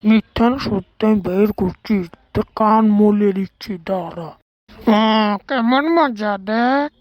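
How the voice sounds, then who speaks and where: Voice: mid-range voice, unhurried, dry recording, muffled recording, close to an everyday voice. A performer voicing a bossy, fed-up boss and parent who scolds and grumbles in an amateur cartoon dub. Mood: scolding.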